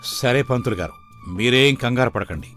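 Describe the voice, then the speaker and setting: A man's voice: mid-range voice, expressive, normal speed, a bit bright, soft background music, lightly acted. A man playing a greedy, domineering middle-aged father in a moral story cartoon. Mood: smooth, evasive.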